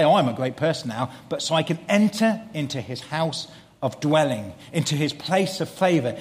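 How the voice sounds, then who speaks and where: A man's voice: on the high side, long reverb tail. A man preaching a sermon, earnest and explanatory, building to fervent emphasis.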